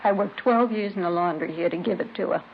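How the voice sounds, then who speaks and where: A woman's voice: mid-range voice, quick pace, lightly acted. An actress playing a weary, bitter middle-aged mother ashamed of her daughter, in vintage radio drama style with resigned complaining. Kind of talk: 1950s radio drama acting. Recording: noisy, muffled vintage radio recording.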